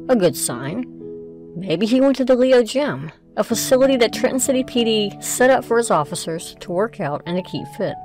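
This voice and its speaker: A woman's voice: mid-range voice, a little mellow. A woman reading scripted narration for an audio drama.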